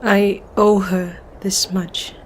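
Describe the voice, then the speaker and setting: A woman's voice: mid-range voice, level tone, takes it slowly, a little hiss or hum, natural voice. An actress playing a resolute, grieving Victorian woman in an audio drama, speaking formally and with determination. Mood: grieving resolve.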